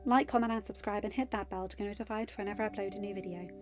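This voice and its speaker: A woman reading a story aloud as an expressive amateur podfic narrator. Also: medium pitch, rapid-fire, highs cut off. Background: music.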